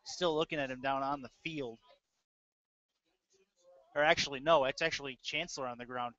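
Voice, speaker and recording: A man's voice: mid-range voice, fairly even intonation, normal speed, sharp tone. An American man working as a play-by-play announcer, giving live commentary on a football game. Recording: dull, lo-fi webcast audio.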